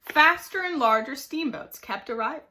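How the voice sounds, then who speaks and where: A woman reading a children's story aloud like a teacher, with expressive, dramatic emphasis. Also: sits high, moderate pace, a little hiss or hum. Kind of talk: animated storybook narration for children.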